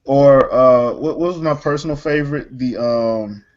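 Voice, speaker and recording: A man's voice: medium pitch, normal speed, highs cut off, background noise. A man hosting a casual gaming chat in a slangy, easygoing, joking way. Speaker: podcast host.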